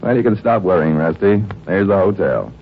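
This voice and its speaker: An actor playing a courteous, practical doctor-detective in an easygoing vintage radio mystery style. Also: male voice, low-pitched, animated, moderate pace. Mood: breezy, practical. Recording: dull, noisy old radio recording.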